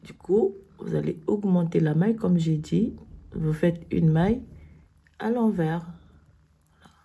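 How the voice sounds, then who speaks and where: A woman with a cold, hoarse, slowly explaining knitting stitches step by step in a tutorial. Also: on the low side, dull audio. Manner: slow, step-by-step instructions.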